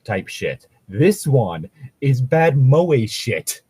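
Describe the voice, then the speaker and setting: A man's voice: medium pitch, sing-song, drawn-out words, mellow, breathy edge, no room sound. A man chatting casually as a co-host, joking and ranting sarcastically with plenty of swearing. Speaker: co-host reading and mocking fan fiction.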